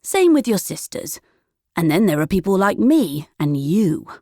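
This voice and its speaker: A woman voicing a gentle father kindly explaining things to his child in a storybook. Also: on the low side, big pitch swings, measured pace, no room sound, clean studio sound, full character voice. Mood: kindly earnest.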